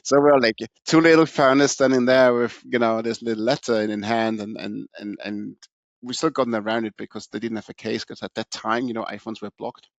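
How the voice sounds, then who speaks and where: A middle-aged man from Austria, a podcast guest telling his career story in a relaxed way, with many fillers and restarts. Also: mid-range voice, fairly quick, no room sound, dull audio. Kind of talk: casual interview storytelling.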